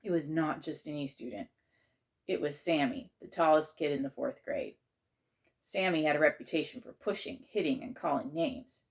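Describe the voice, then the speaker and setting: Low-pitched woman reading a children's story. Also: big pitch swings, moderate pace. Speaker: author reading aloud.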